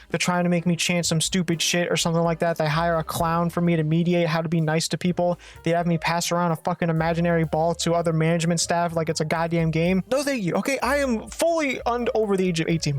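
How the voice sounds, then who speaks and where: A young adult YouTuber with a slightly raspy voice, rambling through a casual, profane rant and often correcting themselves mid-sentence. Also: medium pitch. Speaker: faceless gaming YouTuber.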